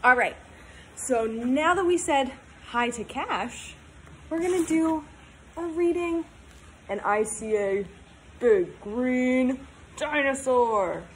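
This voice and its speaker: A woman in her twenties reading a storybook aloud to young children, talking in simple, sing-song, animated phrases. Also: sits high, slow pace, slight background noise, low-quality recording. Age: 20s. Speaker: teacher reading aloud to pupils.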